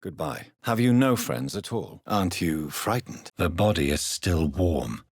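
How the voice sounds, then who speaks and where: Deep, husky English gentleman vampire doctor. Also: expressive, dry recording, studio quality, full character voice. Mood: grave, inquiring. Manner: formal, measured, precise diction. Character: grave, courteous gentleman doctor, war veteran turned vampire. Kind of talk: dramatic video game dialogue.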